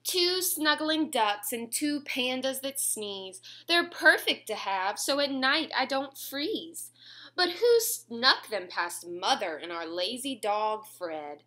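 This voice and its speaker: A young woman reads a children's picture book aloud in a small room at home. Her voice is high and bright, with lively swings of pitch. She phrases each line clearly and expressively for little listeners, taking her time. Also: slow pace. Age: 20s. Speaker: children's story reader.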